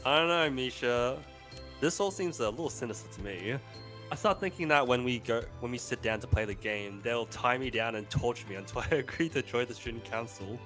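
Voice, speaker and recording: A soft-spoken man reading a visual novel aloud and chatting casually, swearing now and then. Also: medium pitch, expressive, fairly quick, faint music behind. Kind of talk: narration mixed with casual banter.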